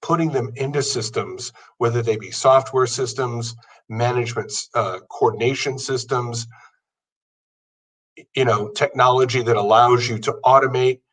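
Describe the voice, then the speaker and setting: A man's voice: medium pitch, normal speed, fairly bright tone, distant mic, rough recording. A man in his fifties giving an earnest motivational talk, building his points through long lists. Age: 50s. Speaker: podcast host. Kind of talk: motivational monologue.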